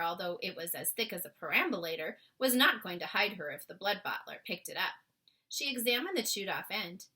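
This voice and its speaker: Very bright-voiced woman reading a storybook. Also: mid-range voice. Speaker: storybook reader. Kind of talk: children's book read-aloud with brief asides.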